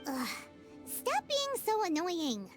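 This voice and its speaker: A woman voicing a tiny, excitable fairy girl companion in a video game, with a high, squeaky childlike voice who talks about herself in the third person. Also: takes it slowly, music in the background, heavily acted. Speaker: companion character.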